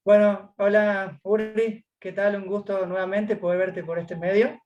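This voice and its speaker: A man's voice: high-pitched, flat delivery, unhurried, darkish tone, lo-fi sound. A man from Córdoba with an Argentine accent, telling his story as a guest in a casual interview, hesitating with many fillers. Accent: Argentine Spanish.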